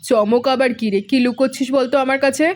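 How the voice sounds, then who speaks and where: A woman voicing a fond, practical village mother in a cartoon who speaks gently and scolds lightly. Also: high-pitched, fairly even intonation, fast, close to an everyday voice. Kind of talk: cartoon voice acting.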